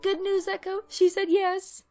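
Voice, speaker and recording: A small, stubborn girl pony from a cartoon, voiced by a woman, with a high, youthful voice. She sounds anxious and protesting, and faint music plays behind her. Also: little pitch movement, a little slow. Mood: falsely cheerful. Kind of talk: animated cartoon drama acting.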